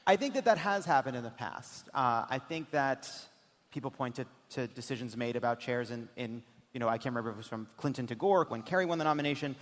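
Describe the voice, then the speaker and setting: A man hosting a live political comedy talk show, speaking emphatically with halting, restarted phrases. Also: medium pitch. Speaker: host. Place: indoor stage before a live audience. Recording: echoey hall, live stage.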